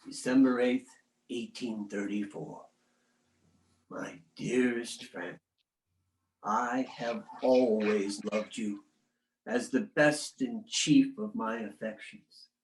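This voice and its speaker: A man plays a devoted, remorseful husband of the 19th century reading his letters aloud. He speaks very slowly, with solemn, formal weight. The sound is roomy and echoing. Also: mid-range voice, natural voice. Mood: penitent, tender. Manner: grave, formal, deliberate oratory. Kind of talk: dramatic reading of historical letters.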